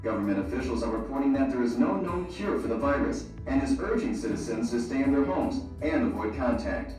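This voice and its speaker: An actor playing a grave news anchor reading an official report in an audio drama. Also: male voice, mid-range voice, lively intonation, fairly quick, a little mellow, soft background music, natural voice. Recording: very echoey, distant broadcast.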